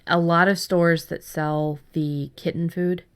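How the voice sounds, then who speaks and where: A woman's voice: fairly low pitch, little pitch movement, takes it slowly, clear, resonant tone. A woman hosting a casual discussion, explaining things in a conversational way. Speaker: host.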